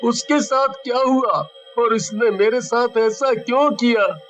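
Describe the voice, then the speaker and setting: A man's voice: extremely high pitch, slow pace, a bit bright, muffled recording, soft background music, full character voice. A man voicing the anguished ghost of a wronged village man in a cartoon story, with a plaintive, wailing delivery. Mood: plaintive questioning. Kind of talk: Hindi cartoon story dialogue.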